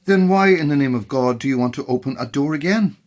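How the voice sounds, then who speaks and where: A man's voice: medium pitch, fast, a little mellow, lightly acted. A man playing an exasperated, sceptical guest in an audio drama, firing off challenging questions. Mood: sceptical, agitated.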